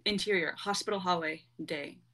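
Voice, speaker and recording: A young woman narrates a script, reading the stage directions aloud. Her voice sits slightly lower than most women's. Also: normal speed, a bit bright, low-quality recording. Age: young adult.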